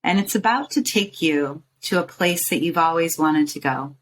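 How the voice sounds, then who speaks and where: A woman with a low-pitched voice leads a guided meditation. She speaks soothingly, coaxing the listener along in short phrases with pauses between them. Some background ambient noise sits behind her voice. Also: lively intonation, rough recording. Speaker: meditation guide and show host.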